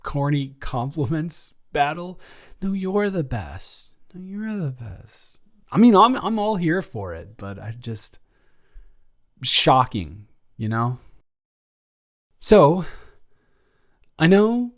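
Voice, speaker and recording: A man's voice: on the high side, big pitch swings, slow pace, long pauses, heavily acted. A man playing a nervous, lovestruck young college dormmate in an intimate romantic audio drama, earnest and wordy, often stammering. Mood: playful, flustered, then caring, hesitant.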